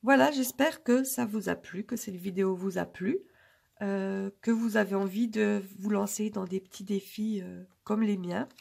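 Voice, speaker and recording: A woman with a hoarse, husky voice from a cold, chatting casually and rambling to her viewers with many pauses. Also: mid-range voice, darkish tone. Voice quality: gravelly. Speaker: budgeting vlogger.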